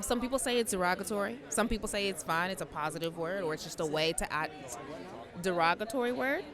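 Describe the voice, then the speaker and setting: A woman interviewing guests on a red carpet, quick and affirming, with frequent short agreements. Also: on the low side. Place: outdoor red carpet event. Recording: outdoor event with music and crowd.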